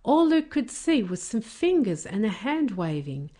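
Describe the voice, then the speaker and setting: A woman reading a children's story as a careful, expressive narrator. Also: fairly high pitch, measured pace. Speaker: storybook reader.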